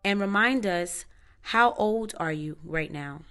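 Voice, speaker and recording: A woman questions a witness in court in a formal, methodical way and keeps her questions exact. Her voice sits at a middle pitch and sounds smooth and clear. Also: slow, a bit bright. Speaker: prosecutor.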